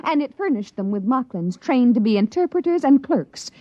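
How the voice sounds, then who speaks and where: Commanding, clipped female official, very animated intonation, vintage sci-fi radio, dry and dull-sounding recording. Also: high-pitched, normal speed, dry recording. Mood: icy, commanding. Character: imperious, curt woman company inspector. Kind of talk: 1950s radio drama acting.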